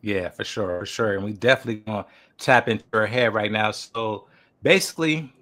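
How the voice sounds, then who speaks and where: Low-pitched man hosting a casual interview. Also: brisk, pushed and bright. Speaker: podcast host and interviewer.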